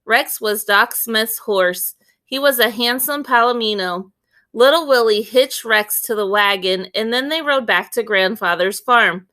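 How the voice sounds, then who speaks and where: Bright, unhurried woman reading a storybook. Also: a little high. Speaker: read-aloud storyteller. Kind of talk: expressive children's book narration.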